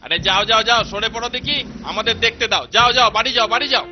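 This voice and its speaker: A man voicing a bossy, wisecracking guard in a comic cartoon, animated and scoffing. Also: extremely high pitch, rapid-fire, noisy background. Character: bossy, wisecracking cross-eyed male guard, comic sidekick. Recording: dull sound with clear music behind.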